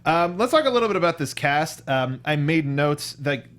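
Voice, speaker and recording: A man's voice: a little high, sing-song, moderate pace, a bit bright. A man co-hosting a casual film review chat, acting out reactions in quoted asides. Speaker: co-host of a film review show.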